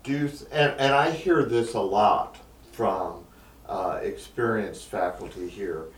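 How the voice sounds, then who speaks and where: A man interviewing, asking long, careful questions in a measured way. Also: on the low side. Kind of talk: oral history interview. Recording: distant, roomy mic with faint background noise.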